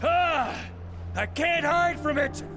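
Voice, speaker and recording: A man's voice: extremely high pitch, takes it slowly, background noise. A male actor voicing an anxious young traveler in a video game, uneasy and exclamatory. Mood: alarmed. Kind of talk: video game character acting.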